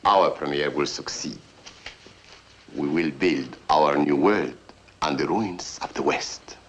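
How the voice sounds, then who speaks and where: A man's voice: rumbling low, slow, slight background noise. A man playing a cold, zealous Soviet general in an old 1950s film, speaking in a grandiose, declamatory way.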